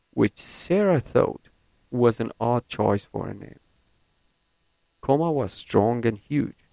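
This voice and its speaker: Young man, Kurdish native, American-leaning English accent, careful deliberate delivery, creaky voice, dry close sound. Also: medium pitch, a little mellow, dry recording, poor audio. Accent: American-leaning non-native English. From Syria. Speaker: university student. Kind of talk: reading a set passage, then personal account.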